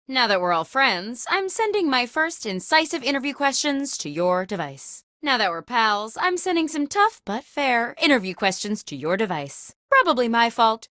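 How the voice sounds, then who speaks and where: A woman's voice: fairly high pitch, sharp tone, dry recording, clean studio sound. A woman playing a chipper, insecure, scatterbrained office boss as the host of a video game, with an over-eager, theatrical delivery.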